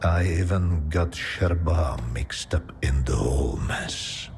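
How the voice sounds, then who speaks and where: An actor playing a weary, regretful scientist in a video game, speaking in a resigned way. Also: male voice, rumbling low, darkish tone, a little hiss or hum, exaggerated voice. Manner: slow, resigned, sighing. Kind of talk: video game dialogue acting.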